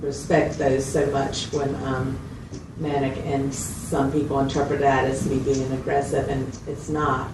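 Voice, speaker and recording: A woman's voice: extremely low pitch, level tone, unhurried, few pauses. A woman playing a candid psychiatric patient, talking hesitantly and plainly in short phrases. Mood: candid, subdued. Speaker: mental health patient in a consultation. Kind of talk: role-played clinical interview, conversational. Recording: distant mic, roomy echo, loud background noise.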